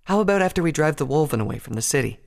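Man voicing an earnest, higher-pitched unicorn mare. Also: expressive, rapid-fire, gravelly. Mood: explaining. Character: earnest, worried, dutiful young female unicorn mage pony.

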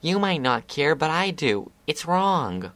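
A man's voice: very animated, slow pace, fairly bright tone, full character voice. A man voicing a stubborn, indignant young female pegasus filly in a raised, earnest voice. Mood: upset. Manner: pitched up, earnest and upset.

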